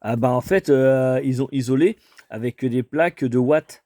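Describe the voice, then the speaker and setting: A man rambling hesitantly, mellow and dry. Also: mid-range voice, moderate pace, no room sound. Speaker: homeowner taking a cold call. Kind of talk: casual improvised phone conversation.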